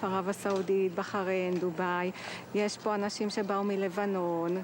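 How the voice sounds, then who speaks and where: A woman's voice: mid-range voice, level tone, racing. A woman speaking spontaneously in an interview as a workshop participant. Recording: noisy location, vehicle noise.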